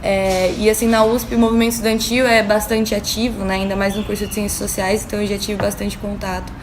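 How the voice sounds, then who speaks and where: A young Brazilian woman, a student, speaks thoughtfully and pauses on fillers as she reasons aloud. Her mid-range voice sits over clear background noise. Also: mid-range voice, flat delivery. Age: young adult. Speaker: university student interviewee. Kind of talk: interview answers.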